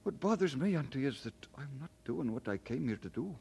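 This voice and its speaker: A man's voice: medium pitch, lively intonation, a little fast, vocal fry, dark tone, slight background noise. A man playing a polite, earnest, hard-working young Scottish immigrant in an old radio drama. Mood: weary, discouraged. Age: young adult.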